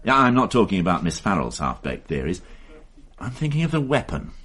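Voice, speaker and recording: An actor playing a sharp-tongued police inspector with a dry, sardonic, commanding manner in a radio drama. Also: male voice, low-pitched, big pitch swings, brisk, full character voice.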